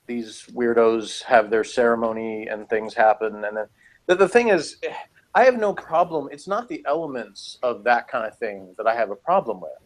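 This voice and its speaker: A man's voice: medium pitch, expressive, moderate pace. A man in a casual review chat, rambling and hedging as he argues his points as a co-host. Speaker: podcast co-host reviewing TV episodes.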